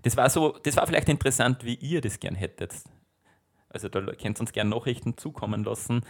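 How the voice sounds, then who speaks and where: A man's voice: medium pitch, expressive, fairly quick, vocal fry. A man in his thirties with an Austrian accent, co-hosting a podcast in casual, jokey chat full of self-mockery. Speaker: podcast co-host.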